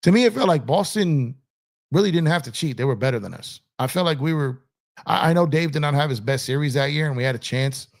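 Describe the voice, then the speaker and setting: Gravelly-voiced man rambling on a livestream. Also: on the high side, lively intonation, a little fast. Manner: rambling, repeats himself, many fillers. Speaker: livestream host. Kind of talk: casual livestream chat reading comments. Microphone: streaming setup in a gaming chair.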